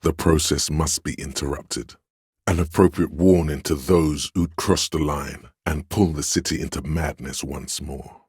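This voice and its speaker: A very deep, imperious authority voice. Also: male voice, steady tone, a little mellow, husky, no echo, clean studio sound, full character voice. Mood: grave, authoritative. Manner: grave, imperious, measured. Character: stern, proud inner voice of authority, commanding and domineering. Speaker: video game voice actor. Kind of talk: dramatic game narration in second person.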